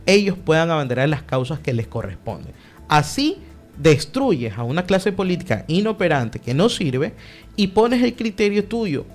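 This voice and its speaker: Young male guest, fairly high, animated speechmaker, faint background music. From Ecuador. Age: young adult. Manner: emphatic, lively, speechmaking. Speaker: political guest, party director. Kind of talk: radio interview answer.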